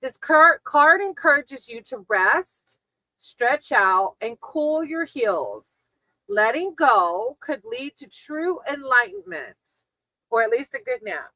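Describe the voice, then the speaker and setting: A woman with a fairly high, bright voice gives a casual tarot reading on a livestream. She speaks reassuringly and encouragingly to her viewers, and the sound is dry and close. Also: very animated, slow pace, dry recording, low-quality recording. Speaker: tarot reader hosting a livestream. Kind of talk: casual live tarot card reading with chat.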